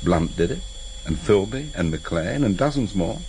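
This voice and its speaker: An actor playing a grave, gentle family friend in a British radio drama, sober and measured. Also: male voice, sits low, animated, rough recording. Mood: grave, reasoning. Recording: hissy vintage radio recording.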